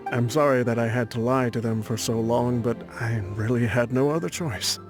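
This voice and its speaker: A man's voice: medium pitch, steady tone, moderate pace, over music, natural voice. A man playing an old warband chief, ailing, boastful, chuckling, rambling. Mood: wry, chuckling. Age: older.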